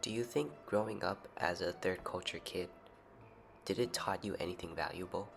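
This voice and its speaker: A man's voice: low voice, normal speed, creaky. A man hosting a podcast, reading scripted narration and asking his guests short questions. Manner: reads narration evenly, asks short questions. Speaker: podcast host. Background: music playing underneath.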